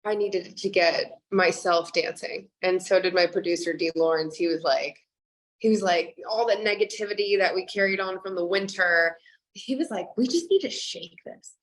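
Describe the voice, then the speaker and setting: A young woman from Kansas, a singer chatting casually in an interview, bubbly and quick to laugh, with lots of likes and you-knows. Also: lowish voice. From Kansas, USA. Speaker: singer guest.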